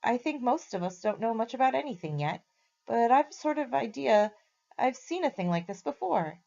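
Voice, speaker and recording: A woman voicing a gentle talking horse who speaks slowly and thoughtfully, a little confused. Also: on the high side, big pitch swings, creaky, dull audio, pitched above the performer's own voice. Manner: slow, thoughtful, hesitant.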